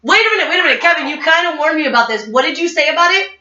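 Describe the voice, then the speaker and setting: A brash, excitable woman hosting a casual, rowdy group chat and swearing freely. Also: high voice, racing. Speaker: host. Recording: roomy, distant, slightly muffled mic.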